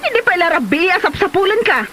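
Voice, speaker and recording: A woman's voice: very high voice, very animated, fast, sharp tone, soft background music, exaggerated voice. A woman acting as a pushy mother who nags her daughter to marry, in a homemade comedy drama. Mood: scolding, insistent.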